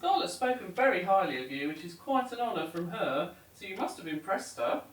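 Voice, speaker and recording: A woman plays an older retired farmer in an audio drama, hospitable yet guarded. Her lowish voice moves up and down in lively swings, heard a little far from the mic in a roomy space. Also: brisk, slight background noise. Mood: polite, welcoming. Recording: roomy, distant from mic, faint music.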